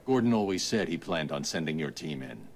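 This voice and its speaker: A stern, masked vigilante from a video game, speaking in terse commands. The voice sits extremely deep with a gravelly edge. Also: male voice, lively intonation, fairly quick, crowd noise. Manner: clipped, commanding, low menace. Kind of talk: video game cutscene acting.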